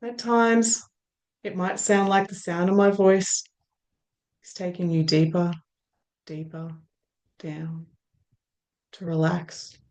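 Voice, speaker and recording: Australian woman hypnotist, unhurried, soft trailing phrases. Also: lowish voice, little pitch movement. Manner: slow, soothing, drawn-out phrases that trail off softly. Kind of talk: guided hypnosis induction.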